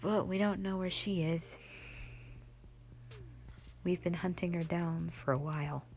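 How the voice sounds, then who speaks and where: Woman voicing strict mother and warrior leader, lowish pitch, very unhurried, halting and commanding, roleplay audio drama. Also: steady tone, a little mellow. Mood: grave, stern. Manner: stern, deliberate, halting delivery with sighs.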